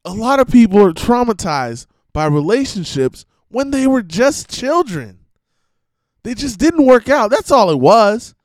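Emphatic, slightly hoarse man ranting. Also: very high voice, sing-song, unhurried, no echo. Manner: emphatic, preachy, swearing, repeats himself. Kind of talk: casual monologue rant.

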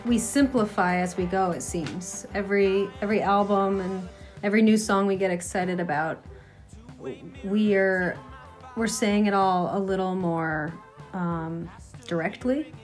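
Woman musician from Massachusetts, mid-pitched, relaxed and chatty, light music underneath. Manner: easygoing, agreeable, quick asides. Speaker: musician guest. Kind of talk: casual interview chat.

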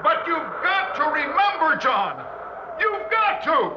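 An actor playing an urgent, pleading ghostly voice in a 1960s radio drama, insistent and imploring. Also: male voice, extremely high pitch, very animated, slow, heavily acted. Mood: desperate, urgent. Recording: echoing, muffled, very noisy radio recording.

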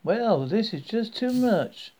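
A man's voice: sits high, very animated, takes it slowly, mellow, voice pushed up, heavily acted. A man voicing a chatty, friendly young woman with a Southern US accent, gushing and talkative.

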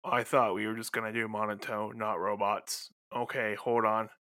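A man voicing a comic robot in a flat, mechanical monotone with stilted delivery. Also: mid-range voice, moderate pace, clean tone, no room sound, studio quality, natural voice. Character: beeping monotone robot speaking in binary.

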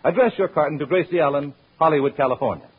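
A man's voice: on the high side, quick pace, background noise. An American man announcing in a polished, emphatic 1930s-40s radio advertising style. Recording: muffled, narrow-band old radio recording.

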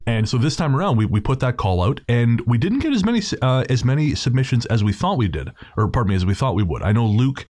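A man's voice: medium pitch, sing-song, fast talker, few pauses, a little mellow, dry recording, studio quality. A man talking casually as the host of a podcast, introducing the show.

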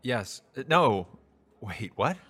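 A man's voice: mid-range voice, sing-song, slow, fairly bright tone, breathy edge, full character voice. An actor playing an awkward, evasive young man on a blind date in an audio drama comedy, hesitant, stammering and apologetic. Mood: confused, apologetic. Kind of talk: audio drama acting, romantic comedy dialogue.

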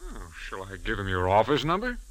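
Mild, obliging, bright-voiced bartender. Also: male voice, on the low side, wildly swooping pitch, a little fast, low-quality recording. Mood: matter-of-fact. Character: obliging, slow-witted male bartender, mild and deferential. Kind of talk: 1940s radio drama acting. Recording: faint noise on old radio recording.

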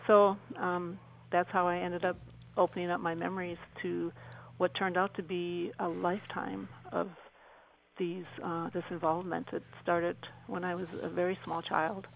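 A woman speaking as a radio interview guest, answering conversationally in an earnest, reflective and halting way. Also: lowish voice, flat delivery, gravelly, a little hiss or hum, poor audio.

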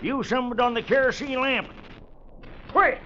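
An actor playing a cantankerous old American mule skinner in a 1940s radio western, with a folksy drawl and blustery rustic talk. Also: male voice, extremely high pitch, a little slow, pushed and bright, exaggerated voice. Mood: gruff, laconic. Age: older. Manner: crotchety, blustery, rustic dialect. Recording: noisy, muffled 1940s radio recording.

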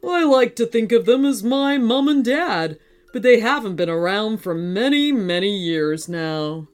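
A woman voicing a huge robot dinosaur in a children's story, gruff and curt with a lonely streak. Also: medium pitch, lively intonation, faint music behind, lightly acted. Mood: sad, resigned. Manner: roaring, curt, drawn-out delivery.

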